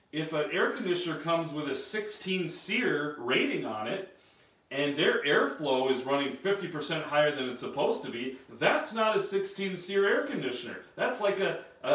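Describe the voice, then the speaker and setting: Man in his thirties, emphatic trainer, somewhat high voice, echoey room, camera mic a few feet off. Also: a bit bright, distant mic. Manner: emphatic, direct, gestures while standing. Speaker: sales and service trainer. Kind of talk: training talk at a whiteboard. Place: small carpeted room, indoors. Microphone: camera a few feet away.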